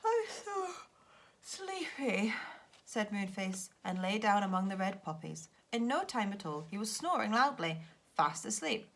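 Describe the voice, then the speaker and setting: A woman reads a children's story aloud like a primary teacher. Her voice is bright and clear-cut in tone, with highly animated pitch that follows the narration expressively. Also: moderate pace.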